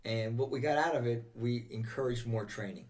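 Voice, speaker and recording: An older American man speaks as a guest in a casual, reminiscing chat. He circles back over his phrases as he goes, in a mid-pitched voice that sits a little away from the mic. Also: brisk, a little mellow, recorded from a distance. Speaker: interview guest, veteran volunteer firefighter.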